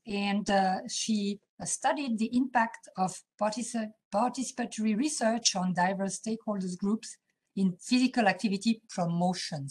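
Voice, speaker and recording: Female colloquium host reading a formal guest introduction; hesitant, with lots of "uh" fillers. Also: medium pitch.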